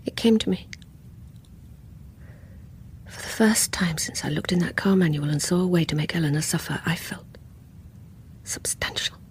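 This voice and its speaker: A woman's voice: on the low side, darkish tone, slight background noise, lightly acted. An English actress playing a guilt-ridden, obsessive woman in a radio drama, intense and confessional in dialogue and intimate narration. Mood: cold resolve. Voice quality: breathy, whispery.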